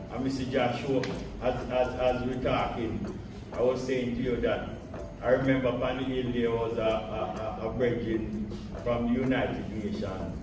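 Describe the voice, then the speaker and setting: A man, a Rastafarian priest, slowly recounts his memories with repeated words. He sits away from the mic, his voice echoing strongly around him. Steady background noise runs under everything. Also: medium pitch. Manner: reflective, unhurried storytelling with repetitions. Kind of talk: firsthand account to an interviewer. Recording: echoey, distant mic with noise.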